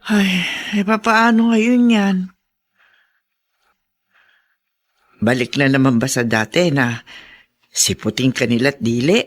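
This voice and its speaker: A male actor playing a concerned, inquisitive friend in a Filipino radio drama, with expressive, drawn-out questions. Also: mid-range voice, natural voice. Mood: curious, worried.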